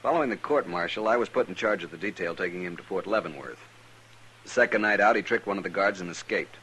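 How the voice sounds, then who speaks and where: An actor playing a rigid, insistent cavalry lieutenant with an American accent, speaking in a clipped, formal way in a western radio drama. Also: male voice, low-pitched, lively intonation, fast talker, a bit bright. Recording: noisy old radio recording.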